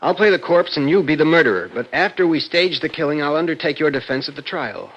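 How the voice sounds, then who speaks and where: An actor playing a clever, conceited, argumentative younger brother, an American law student, in an old-time radio drama. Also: male voice, a little high, animated, fast, muffled recording. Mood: scheming, confident. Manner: argumentative, assertive.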